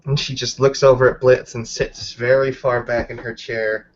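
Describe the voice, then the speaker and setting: Mid-pitched man casually narrating a game. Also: level tone, moderate pace. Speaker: role-playing game player. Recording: dull lo-fi audio.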